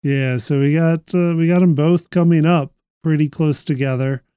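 A man's voice: a little high, steady tone, moderate pace, warm tone, smooth, no echo, clean studio sound. A man talking casually as a panelist in a group conversation. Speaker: podcast panelist. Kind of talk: casual chat.

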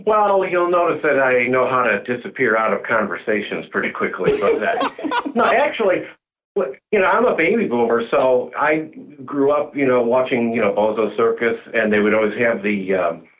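A man's voice: medium pitch, lively intonation, moderate pace. An older man chatting casually and jokingly as a radio guest over the telephone. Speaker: village representative, radio guest. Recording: dull phone line with faint noise.

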